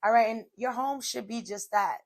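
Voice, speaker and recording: Hoarse woman host, a touch high-pitched, motivational solo talk, casual and talking straight to her audience. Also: moderate pace. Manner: chatty, direct to viewers, repeats "okay" and "y'all". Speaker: podcast host. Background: soft music playing.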